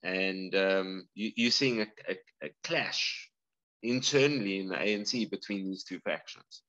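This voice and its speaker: A man's voice: deep voice, measured pace, pushed and bright, lo-fi sound. A man with a South African accent, a guest explaining things earnestly in a casual interview, often restarting his sentences. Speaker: guest bladesmith.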